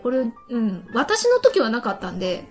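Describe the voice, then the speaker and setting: A woman's voice: fairly high pitch, wildly swooping pitch, normal speed. A woman hosting a casual radio talk show, reading out listener messages. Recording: light music behind the voice. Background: background music.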